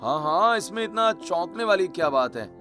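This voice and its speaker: Easygoing young husband, played by a man, higher male voice, casual and tired, cartoon drama. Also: very animated, moderate pace, sharp tone, soft background music, full character voice.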